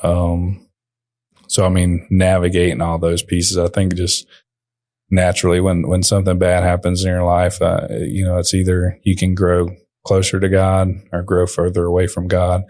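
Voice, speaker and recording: Quiet low-voiced young man, halting speech. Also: completely flat delivery, no echo, clean studio sound. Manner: quiet, soft-spoken, halting with fillers. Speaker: company owner, guest. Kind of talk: podcast interview.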